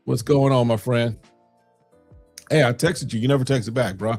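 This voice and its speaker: A man's voice: mid-range voice, steady tone, normal speed, vocal fry, darkish tone, breathy edge, soft background music. A man from Chicago's South Side hosting a casual fragrance livestream, answering chat questions in a loose, conversational way with plenty of fillers.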